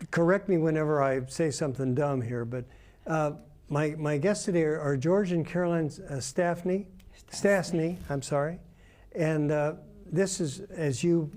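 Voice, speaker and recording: Male host, slightly high-pitched, friendly hesitant interviewer full of fillers. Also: mellow. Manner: chatty, hesitant with many fillers.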